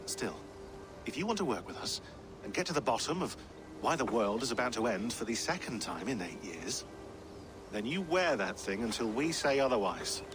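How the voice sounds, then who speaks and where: An actor playing a dry, sardonic research teammate in a video game, wry and a little pedantic. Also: male voice, mid-range voice, sing-song, moderate pace, music in the background, close to an everyday voice. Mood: firm, reassuring. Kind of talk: naturalistic video game acting. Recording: clear noise, ambience behind the voice.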